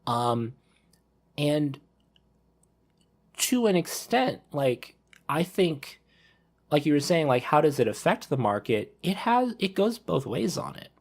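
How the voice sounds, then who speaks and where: A man's voice: fairly high pitch, big pitch swings, slow pace, pauses often. A man talking casually on a podcast panel, rambling a little and repeating words as he thinks aloud.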